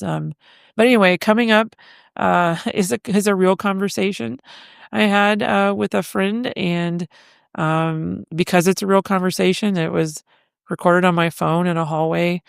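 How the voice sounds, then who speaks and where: A woman's voice: lowish voice, gravelly, clean tone, no room sound, clean studio sound. A middle-aged woman hosting her own podcast in casual solo chat, chatty and self-deprecating, laughing often. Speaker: podcast host. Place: home living room.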